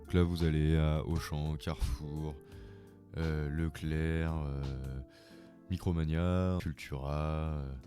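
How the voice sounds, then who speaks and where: Man, very deep voice, has a cold, rambling casual vlog talk, music behind. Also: flat delivery, slow pace, mellow. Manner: informal, rambling. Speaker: vlogger. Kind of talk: casual video tutorial.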